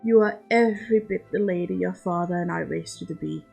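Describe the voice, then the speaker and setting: A woman's voice: on the low side, a little fast, gravelly, darkish tone, husky, soft background music, heavily acted. A woman playing a middle-aged countess mother in a storybook reading, genteel and fond, with a drily teasing manner.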